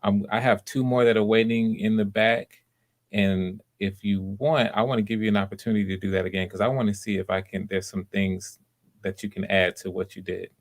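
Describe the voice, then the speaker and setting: A man with a low voice hosts a live audition session. He coaches casually and encouragingly, often stopping to restart or repeat a phrase as he gives his notes. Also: fairly even intonation, a little fast. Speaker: host of live voice auditions, director giving notes.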